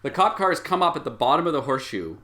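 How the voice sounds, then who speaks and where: A man running a tabletop game as game master, improvising scenes and bantering in a jokey, animated way. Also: fairly high pitch, fast talker.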